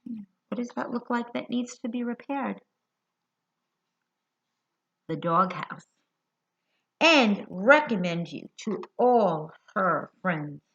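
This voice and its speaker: Female storyteller, American, for a kids' read-aloud: very slow, clearly expressive delivery, bright tone, asks the listeners questions. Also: medium pitch. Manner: expressive, deliberate, addresses young listeners with questions. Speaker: children's hospital educator reading a picture book. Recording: dull lo-fi sound.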